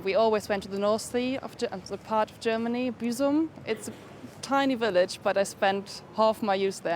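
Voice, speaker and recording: A woman answers a street interviewer with real enthusiasm, calling things amazing. She is recorded outdoors in the city with faint traffic noise behind her. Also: mid-range voice. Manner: enthusiastic, talks of places as amazing. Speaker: passer-by interviewee. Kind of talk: casual chat.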